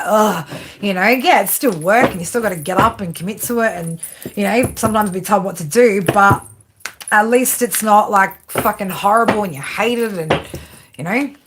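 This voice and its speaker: Australian woman, tarot reader on a livestream, casual swearing, lots of fillers, audible background noise. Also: mid-range voice. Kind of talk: live-stream tarot reading.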